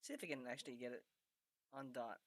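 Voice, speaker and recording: A woman's voice: extremely low pitch, animated, fast. A woman streaming a video game and making casual, wry asides to her viewers. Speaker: gameplay streamer. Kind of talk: casual commentary over gameplay. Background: game music.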